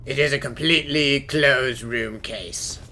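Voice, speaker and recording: A woman's voice: a bass voice, unhurried, bright, forceful tone, full character voice. A woman voicing a serious, level-headed adult woman in a cartoon fan dub, with a dry, matter-of-fact manner. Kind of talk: anime-style fan dub acting.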